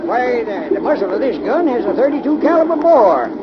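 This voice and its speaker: An actor playing a blustery, skeptical small-town sheriff with a folksy manner in an old radio mystery. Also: male voice, very high voice, big pitch swings, over a noisy crowd. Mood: puzzled, folksy. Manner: folksy, slow to be convinced. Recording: muffled, very noisy old radio recording.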